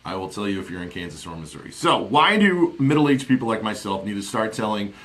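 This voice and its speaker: A man's voice: on the low side, very animated, brisk, fairly bright tone. A man in his forties hosting a casual live stream, rambling and chatty with plenty of fillers, speaking into a desk studio mic in a small home office. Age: 40s. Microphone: studio mic on desk with headphones.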